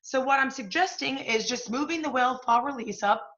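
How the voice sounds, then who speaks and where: Actress as pushy scheming boss lady, high sharp voice, salesy, stage play, slightly muffled recording. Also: fairly quick. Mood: triumphant, scheming. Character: brash, scheming, fast-talking literary agency boss woman. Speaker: stage actor. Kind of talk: theatrical one-act stage play acting.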